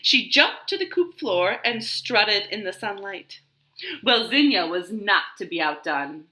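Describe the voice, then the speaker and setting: A woman's voice: mid-range voice, animated, a little slow, bright, forceful tone. A woman hosting a children's storytime from a living room, talking expressively and simply to young children and reading aloud. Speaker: library storytime host. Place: indoors, living room at home. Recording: roomy home living-room echo.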